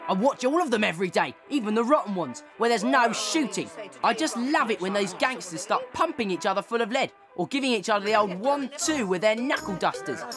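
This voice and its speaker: An actor playing a surly, excitable television-obsessed boy in a children's audio drama. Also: mid-range voice, brisk, music in the background, close to an everyday voice. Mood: gleeful. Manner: irritable, bratty, gleeful about violence.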